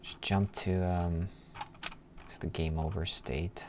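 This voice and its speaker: Slow, low-voiced man thinking aloud. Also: flat delivery. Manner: muttering to himself, trailing off. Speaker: live programming streamer. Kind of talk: casual think-aloud coding stream. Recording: clear background noise, keyboard typing.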